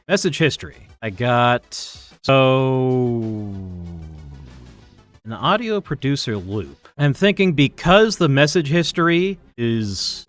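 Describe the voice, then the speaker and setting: A man giving casual, informal live coding commentary as a streaming programmer, muttering to himself as he works. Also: medium pitch. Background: chiptune-style keygen music.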